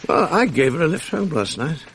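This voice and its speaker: An evasive middle-aged British man in a radio drama. He is offhand and dryly understated, with a creaky edge and very varied pitch, over faint archive hiss. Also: very animated, brisk, a bit bright. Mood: offhand, casual. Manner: offhand, hesitant, dry understatement. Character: evasive, self-justifying middle-aged married man in media. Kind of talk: naturalistic British radio drama acting and narration.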